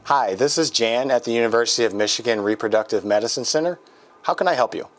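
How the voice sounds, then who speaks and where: A man's voice: mid-range voice, quick pace, natural voice. A man with an American accent playing a polite, helpful clinic receptionist in a scripted training role-play call. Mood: helpful, businesslike. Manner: courteous, accommodating, procedural.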